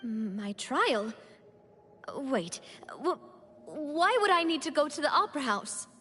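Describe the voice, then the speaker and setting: A woman's voice: sits high, animated, slow pace, fairly bright tone, big-room sound, exaggerated voice. A woman voicing a polite, thoughtful young lady in a video game, often hesitant and musing. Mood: confused, uneasy.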